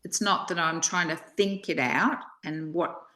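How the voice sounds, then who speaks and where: A woman with a slightly low voice speaks slowly in a reflective spiritual conversation. She is contemplative, pausing between short phrases, and the sound is roomy and echoing. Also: fairly even intonation, pushed and bright. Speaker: study discussion partner.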